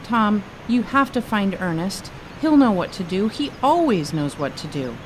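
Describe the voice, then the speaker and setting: A woman voicing a mischievous, easily scared little boy monkey in a storybook, pleading and excitable. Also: medium pitch, normal speed, noisy background, voice pushed up, close to an everyday voice.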